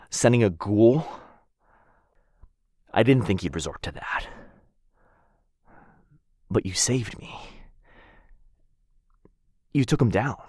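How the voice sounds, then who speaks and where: A man playing a protective vampire lord in an ASMR boyfriend roleplay, soft-spoken and tender with close whispers. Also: mid-range voice, slightly rough, no room sound, full character voice. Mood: shaken. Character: protective young vampire lord, gentle but fierce.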